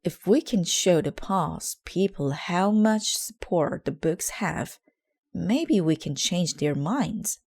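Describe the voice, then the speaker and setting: A woman's voice: lowish voice, animated, takes it slowly, darkish tone, husky, voice pushed up, full character voice. A woman reading a children's storybook as a determined, spirited young schoolgirl, speaking in an earnest, rallying way. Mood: hopeful. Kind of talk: children's storybook read aloud.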